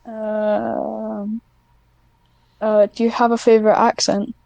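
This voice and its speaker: A woman's voice: mid-range voice, monotone, takes it slowly, creaky, dark tone, no echo, dull audio. A Scottish woman hosting a casual podcast interview and chatting with her guest.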